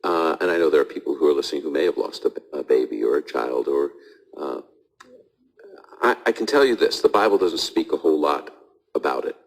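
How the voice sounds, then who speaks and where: Very deep-voiced male radio host. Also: lively intonation, fairly quick, poor audio. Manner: thoughtful, hedging, frequent restarts. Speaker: radio host answering audience questions. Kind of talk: live call-in Bible Q&A.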